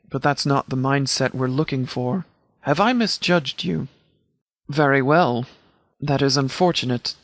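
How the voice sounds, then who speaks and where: An actor playing a commanding post-apocalyptic sheriff in a video game, speaking in a clipped, formal, authoritative way. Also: male voice, medium pitch, a bit bright, no room sound, natural voice. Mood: measured, formal.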